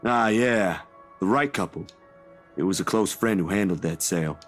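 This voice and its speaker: A man's voice: deep voice, normal speed, faint music behind, pitched below the performer's own voice. A man voicing an earnest young male reporter who asks polite questions.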